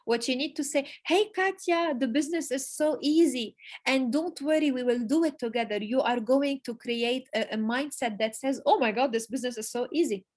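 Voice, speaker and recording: A woman giving an emphatic motivational talk to her business team, repeating key phrases and pausing for effect. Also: high voice, darkish tone. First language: Arabic. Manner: emphatic, repetitive, pauses for emphasis. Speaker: network marketing leader giving a motivational talk. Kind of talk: motivational speech.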